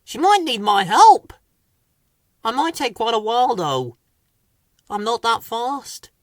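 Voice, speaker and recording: A woman's voice: on the high side, very animated, takes it slowly, no room sound, clean studio sound, voice pushed up. A woman voicing a worried, kindly little creature with an anxious, polite manner in a children's story.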